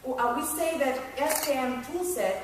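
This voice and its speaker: Filipino woman speaking in an echoey hall. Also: high voice. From Philippines. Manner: earnest, halting, many fillers. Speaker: teacher presenting a summer science program. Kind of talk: conference panel talk. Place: conference room. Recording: echoey hall, distant mic, faint noise.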